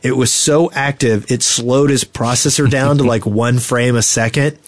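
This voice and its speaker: A man's voice: mid-range voice, steady tone, normal speed. A man co-hosting a podcast, talking in casual chat. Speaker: podcast co-host.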